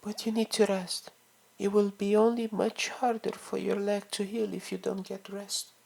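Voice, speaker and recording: A woman's voice: mid-range voice, little pitch movement, moderate pace, vocal fry, warm tone. A woman playing a caring, bossy young friend in an audio drama, scolding yet motherly. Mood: gentle concern.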